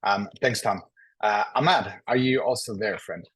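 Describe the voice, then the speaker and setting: Friendly male panel moderator on a video call, slightly husky, dry close sound. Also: fairly low pitch, a little slow, a bit bright, dry recording, poor audio. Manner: friendly, inviting, hesitant fillers. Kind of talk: hosting an online panel.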